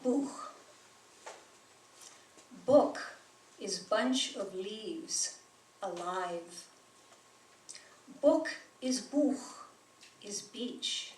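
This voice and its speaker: Slow, unhurried woman poet reading aloud. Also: medium pitch, lively intonation, a little mellow. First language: German. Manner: slow, measured delivery with pauses. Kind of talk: poetry reading at a live event. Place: bookstore reading room with an audience. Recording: roomy, distant sound with faint noise.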